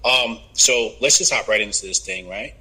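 A man's voice: on the low side, moderate pace, bright, forceful tone, breathy edge, rough recording. A man from New Jersey hosting a podcast, talking casually with slang and lots of fillers. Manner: laid-back, filler-heavy slang. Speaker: podcast host. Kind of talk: casual chat.

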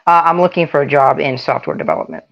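Young man, 20s, hesitant, gravelly, slightly muffled audio. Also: a little high, sing-song, fast, fairly bright tone. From Seattle area, Washington. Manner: hesitant, brief answers. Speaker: panel guest. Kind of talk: casual self-introduction.

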